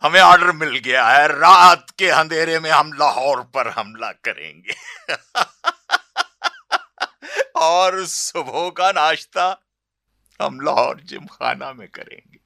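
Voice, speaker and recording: A smug gloating army commander in a radio play, slow drawl, really piercing, dry close sound. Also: male voice, a little high, vocal fry, bright, forceful tone, no echo, full character voice. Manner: slow, theatrical, chuckling drawl. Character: gloating, boastful Indian army commander planning a night attack. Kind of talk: radio drama acting.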